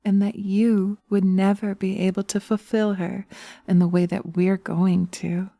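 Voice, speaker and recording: A woman playing seductive, taunting witches in a slow hypnosis roleplay, speaking in a hushed, dominant manner close to the microphone. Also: mid-range voice, little pitch movement, vocal fry, no room sound. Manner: sultry, slow, hushed, often dropping to a whisper. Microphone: close binaural microphone.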